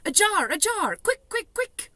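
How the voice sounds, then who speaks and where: A gentle old giant with jumbled speech and made-up words, put on by a woman reading a storybook. The voice is high, bright and leaps all over its range. It is recorded close and dry. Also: big pitch swings, normal speed, no echo. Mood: excited.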